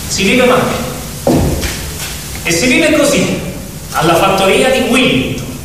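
A man's voice: extremely high pitch, expressive, a little slow. A man narrating a story as scripted drama, theatrical, in short emphatic phrases. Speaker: narrator of a radio play. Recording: very echoey hall, distant, noisy.

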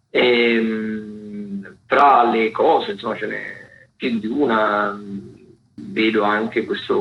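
A man with a mid-pitched voice talks through a listings rundown on the radio. He speaks haltingly, hunting for words and correcting himself as he goes. The sound is roomy and slightly dull. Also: a little hiss or hum. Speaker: theatre critic presenting a weekly events agenda. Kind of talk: loose spoken radio events rundown.

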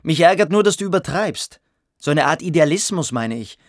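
An actor playing a haughty, domineering boarding school pupil in a radio play, sardonic and commanding in manner. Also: male voice, a little high, lively intonation, a little fast, dry recording, clean studio sound, lightly acted. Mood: mocking, dismissive. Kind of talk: literary radio play acting.

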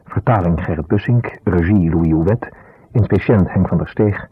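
A man's voice: low voice, moderate pace, slightly rough. A man working as a radio announcer, reading credits in a formal scripted style. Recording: dry, dull 1970s radio recording.

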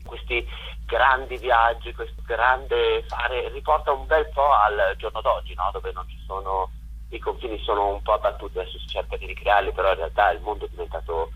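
Deep, husky-voiced man, reflective. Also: fairly even intonation, normal speed, a bit bright, poor audio. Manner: hesitant, reflective, searching for words. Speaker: theatre director, interview guest. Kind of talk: conversational interview.

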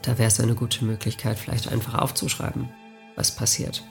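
A man reminisces in a reflective, matter-of-fact way. His mid-pitched voice is extremely creaky and gravelly. Faint music sits behind him. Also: little pitch movement, a little fast.

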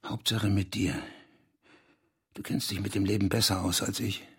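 A man's voice: on the low side, fairly even intonation, moderate pace, husky, no room sound, clean studio sound. An actor playing an obsessive, bitter and needy male writer in a radio drama, brooding and provocative in manner. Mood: jealous, needy. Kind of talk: radio drama acting and literary monologue.

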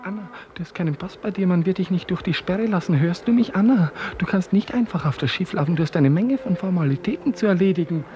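A man's voice: high voice, animated, quick pace, hardly any pauses, highs cut off. A weary, lovesick office clerk in a 1950s radio play. His delivery is pleading and poetic, with theatrical weight. The old recording is very noisy. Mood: urgent calling.

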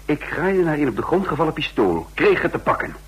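Husky, animated defiant fighter. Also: male voice, on the high side, fairly quick, exaggerated voice, rough recording. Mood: urgent recounting. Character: defiant trained fighter recounting his own escape. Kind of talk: radio drama, first-person narration and dialogue.

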